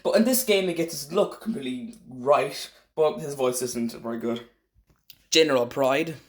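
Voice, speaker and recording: A man's voice: mid-range voice, normal speed, slight background noise. A man hosting a casual ranking commentary in a hesitant, rambling, opinionated way. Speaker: video host ranking game voice actors.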